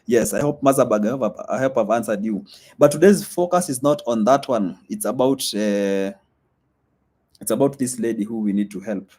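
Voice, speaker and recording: A young man talks casually into a live stream. His voice sits on the low side, and his speech is loose, with plenty of fillers. His is Swahili. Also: moderate pace, a little mellow. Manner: loose and chatty, many fillers, reads comments aloud. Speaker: vlogger hosting a live stream. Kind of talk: casual live-stream chat reading comments.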